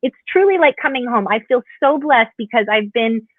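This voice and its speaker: A woman's voice: fairly high pitch, animated, normal speed, no room sound. An American woman chatting as a motivational interview guest, gushing and emphatic, heard over a call. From Hawaii, United States. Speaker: interview guest, leadership coach. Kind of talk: casual motivational chat. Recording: tinny, narrow phone-like call audio.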